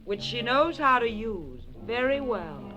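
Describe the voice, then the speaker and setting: An actress playing a cool, teasing femme fatale in a 1940s radio crime drama. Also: female voice, a little high, big pitch swings, unhurried, over music, poor audio. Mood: coy, threatening. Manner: coy, self-possessed, teasing. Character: sultry, cool, gun-toting femme fatale.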